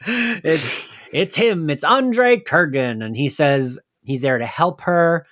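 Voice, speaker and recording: A man's voice: a little high, very slow, dry recording, clean studio sound. A man hosting a podcast, chatting casually and animatedly as he recaps a story. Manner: animated, chatty, quotes lines in playful voices. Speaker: podcast host.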